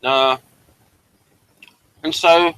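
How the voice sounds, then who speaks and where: A man co-hosting a casual TV review, talking in a halting, digressive way and sometimes reading aloud. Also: on the high side, fairly even intonation, fairly bright tone, smooth, no room sound, rough recording. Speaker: co-host of a TV review show.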